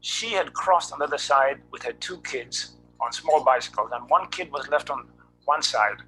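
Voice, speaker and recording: A man from Kenya, introducing himself as a cyclist on a committee video call in a measured, hesitant way. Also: medium pitch, animated, sharp tone, a little hiss or hum, rough recording.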